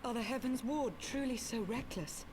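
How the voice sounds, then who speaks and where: A woman's voice: a little high, moderate pace, a little mellow, a little hiss or hum, close to an everyday voice. An actress playing a grave, loyal female knight in a fantasy video game, speaking formally. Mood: grave concern. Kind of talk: dramatic video game voice acting.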